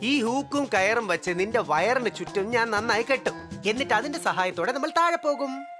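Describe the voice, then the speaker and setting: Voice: mid-range voice, expressive, normal speed, close to an everyday voice. An actor voicing a fond, fretful guru in a children's cartoon, doting and exclamatory, with emotional delivery. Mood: reassuring. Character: Guru, fond, fretful mentor to a young sidekick. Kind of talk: exaggerated children's cartoon dubbing. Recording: music behind the voice.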